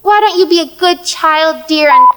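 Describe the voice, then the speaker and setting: A woman's voice: very high-pitched, moderate pace, a bit bright. A woman playing a fretful, theatrical older lady who scolds and implores in an audio play. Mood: scolding, worried. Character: fretful, melodramatic older Mrs. Lee, pleading with gods.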